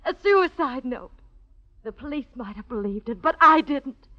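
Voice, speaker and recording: A woman's voice: sits high, lively intonation, moderate pace, pushed and bright. An actress playing a distraught, determined young American woman, emphatic and impassioned, in 1940s radio melodrama style. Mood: impassioned, insistent. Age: young adult. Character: distraught, determined young daughter mourning her fiancé. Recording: muffled vintage radio recording.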